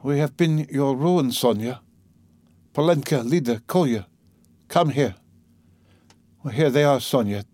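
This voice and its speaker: A man's voice: medium pitch, unhurried, long pauses, a little mellow, dry recording, close to an everyday voice. A man voicing a dying, delirious and bitter sick mother in an audiobook, faint and gasping, with a fretful, rambling, dramatic delivery. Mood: weary, resigned.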